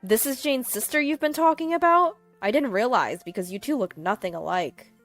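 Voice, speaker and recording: A woman's voice: on the high side, lively intonation, moderate pace, a bit bright, soft background music, voice pushed up. A woman voicing a smug, condescending young husband. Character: smug young husband who sneers along.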